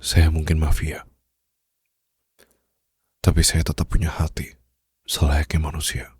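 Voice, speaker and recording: A man playing a brooding, protective mafia boss in an intimate ASMR roleplay, speaking slowly and softly in a husky, breathy voice that drifts into whispers. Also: a bass voice, completely flat delivery, dry recording, studio quality, heavily acted. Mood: tender, protective. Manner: slow, hushed, formal and soothing, drifting into whispers. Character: brooding young male mafia boss, commanding yet tenderly protective. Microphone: close studio microphone, dry.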